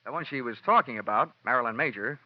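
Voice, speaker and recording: Hardboiled insurance investigator male actor, American, deadpan wisecracks, mid-pitched, vintage radio drama, dull old broadcast sound. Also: fast, dry recording, close to an everyday voice. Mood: wry, defensive. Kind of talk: 1950s radio detective drama acting.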